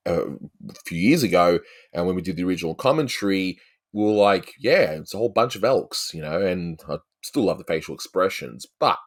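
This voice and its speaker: Deep-voiced Australian man chatting. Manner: rambling, chatty, peppered with "you know". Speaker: host of an animation review show. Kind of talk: casual film review commentary.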